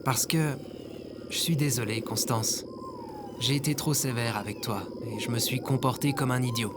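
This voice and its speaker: A man's voice: medium pitch, fairly even intonation, normal speed, heavy background noise, natural voice. A man playing an impulsive, remorseful ordinary guy in an audio drama, speaking casually and colloquially. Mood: apologetic. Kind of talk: scripted audio fiction acting.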